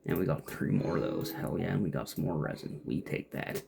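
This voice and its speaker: A man's voice: very deep voice, lively intonation, a little fast, vocal fry, noisy background. A man giving casual, off-the-cuff gameplay commentary, muttering and thinking aloud to himself as he plays. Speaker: gamer playing and commenting.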